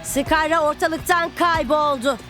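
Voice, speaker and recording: A woman voicing an excitable football commentator in a Turkish cartoon dub. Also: high-pitched, a little slow, soft background music. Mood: excited.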